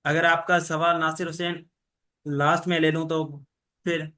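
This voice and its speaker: A man with a fairly high voice gives an online law lecture. He talks in an even, level tone and slows down whenever he reads out an English legal rule. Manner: explains in Hindi mixed with English legal terms, reads rules slowly. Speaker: teacher.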